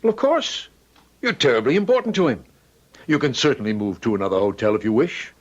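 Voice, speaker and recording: A man's voice: mid-range voice, wildly swooping pitch, fairly quick, muffled recording. An actor in an old radio drama playing a middle-aged ballet man, emphatic and theatrical, short-tempered yet protective. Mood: soothing, paternal.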